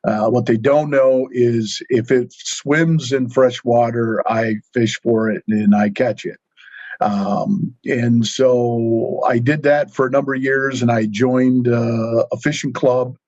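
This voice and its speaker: A man's voice: medium pitch, steady tone, takes it slowly, darkish tone, no echo, highs cut off. A middle-aged man talking casually as a podcast guest, telling stories in a folksy, plain-spoken way. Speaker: podcast guest, angler and fishing pro staffer. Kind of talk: casual conversational storytelling.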